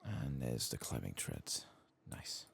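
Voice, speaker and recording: A man giving soft-spoken ASMR commentary on a game, sometimes dropping into a whisper. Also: rumbling low, animated, slow pace, creaky. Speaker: gaming ASMR streamer. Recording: faint keyboard and clicking noise.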